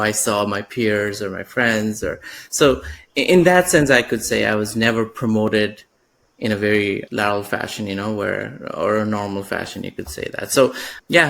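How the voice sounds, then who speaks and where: A man's voice: lowish voice, creaky, a bit bright. A man in his thirties from Nepal, a podcast guest talking through his career with lots of fillers and restarts. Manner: reflective, many fillers and restarts. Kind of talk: podcast interview.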